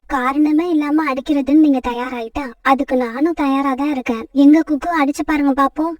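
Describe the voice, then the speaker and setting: A woman as a high-voiced, protective mother sparrow. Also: steady tone, a little fast, a little mellow, no echo, distant mic, lightly acted. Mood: defiant. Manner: firm, motherly. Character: protective, trusting mother sparrow, brave for her son. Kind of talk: children's cartoon story acting.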